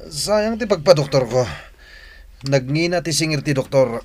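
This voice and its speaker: A man's voice: a little high, animated, normal speed, heavy background noise, close to an everyday voice. A man with a Filipino accent playing a stingy sick boss, groaning in pain, in a radio drama. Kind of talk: Ilocano radio drama acting. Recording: faint music behind the voice.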